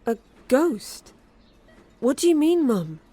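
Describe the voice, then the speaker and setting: A woman playing a concerned, sceptical grown-up British daughter in an audio drama, questioning with gentle disbelief. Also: high voice, sing-song, takes it slowly, exaggerated voice. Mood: surprised.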